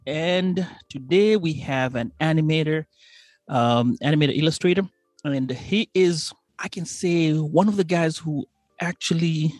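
A man's voice: a little high, dry recording. A man from Kenya hosting a podcast, chatty and upbeat, with frequent fillers. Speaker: podcast host. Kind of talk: podcast interview.

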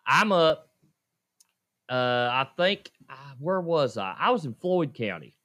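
A man's voice: a little high, sing-song, slow, bright, forceful tone. Laughing man telling stories. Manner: laughing, self-deprecating storyteller. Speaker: podcast co-host. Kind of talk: casual podcast storytelling.

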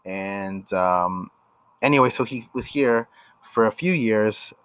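A young man from Canada co-hosting a casual couple podcast, relaxed and full of filler words. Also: fairly low pitch, takes it slowly, clean tone. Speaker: podcast co-host.

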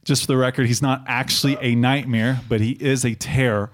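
A man's voice: medium pitch, level tone, a little fast. A man hosting a podcast in a studio, opening the show and teasing his guest playfully. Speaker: podcast host. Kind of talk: podcast intro and casual banter.

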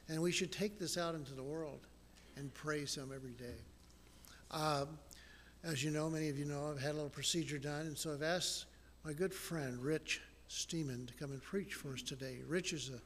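A pastor speaks earnestly to his congregation as he introduces a guest. His voice sits a little high. The church hall adds long reverb and a faint hum of room noise. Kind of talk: sermon introduction.

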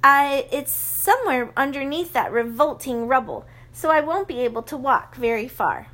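A pampered, vain grand lady, as a woman reader puts her on, lifted high in pitch. Her talk is genteel, affected and fussy, like a storybook aristocrat. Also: animated, moderate pace, pushed and bright.

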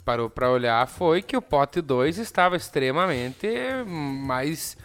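A man's voice: mid-range voice, slow, fairly bright tone. A Brazilian man giving casual football commentary as part of a panel. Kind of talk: casual sports chat. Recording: background music behind the voice.

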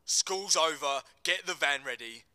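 A man playing a menacing, domineering gangland boss, forceful and accusatory, in a dramatic screenplay reading. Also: a little high, lively intonation, unhurried, voice pushed up, exaggerated voice. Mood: angry, commanding.

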